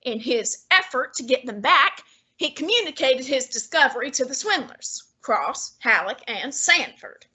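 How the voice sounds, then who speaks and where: A woman playing a pompous male genius detective, using precise and measured diction in a student radio drama. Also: sits high, lively intonation, pushed and bright, husky, exaggerated voice. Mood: deducing. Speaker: student actor.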